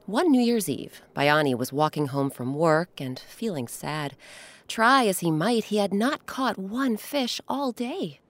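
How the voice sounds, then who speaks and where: A woman's voice: medium pitch, lively intonation, measured pace. A woman hosting and narrating a children's story, with dramatic, emphatic phrasing. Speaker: host and narrator.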